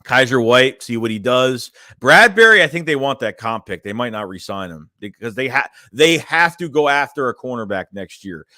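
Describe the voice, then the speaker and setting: A man's voice: medium pitch, big pitch swings, moderate pace, hardly any pauses, bright, forceful tone, dry recording, studio quality. A young man hosting a casual fan livestream, reading chat messages and rambling loosely with lots of "man" and "dude". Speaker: fan channel host reading live chat.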